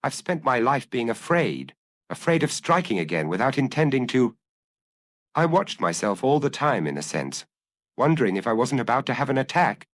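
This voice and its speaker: A man's voice: mid-range voice, sing-song, normal speed, a bit bright, no echo. A man voicing an anguished young killer who earnestly confesses and analyzes his compulsion in a dramatic audiobook reading. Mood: anxious.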